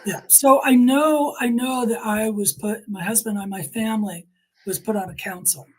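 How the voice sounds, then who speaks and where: Earnest middle-aged woman, slightly husky. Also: mid-range voice, moderate pace, a little mellow, rough recording. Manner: earnest, emphatic storytelling with acted-out quotes. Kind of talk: personal testimony in conversation.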